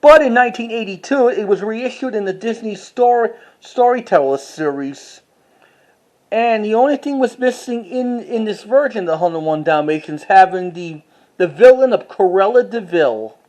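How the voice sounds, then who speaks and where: Halting collector doing a casual show-and-tell. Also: mid-range voice, expressive, darkish tone. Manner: halting, with repeats and self-corrections.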